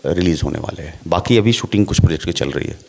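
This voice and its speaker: A middle-aged man, an actor, talking in a relaxed interview and laying out his points with rhetorical questions. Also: sits low, fast talker, gravelly, a little hiss or hum. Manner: explains at length with rhetorical questions.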